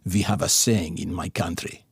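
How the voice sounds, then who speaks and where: A man voicing a stern, menacing Russian colonel in an audiobook, speaking in a measured, formal, threatening way. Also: deep voice, steady tone, slightly rough, dry recording, studio quality, voice pushed down, exaggerated voice. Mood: cold menace.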